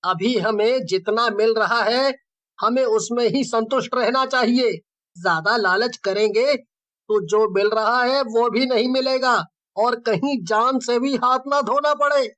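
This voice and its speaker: Very high-pitched, earnest young sidekick acted by a man. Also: a little slow, fairly bright tone. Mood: cautioning. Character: timid, cautious young village man, admiring sidekick friend. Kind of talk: cartoon story acting.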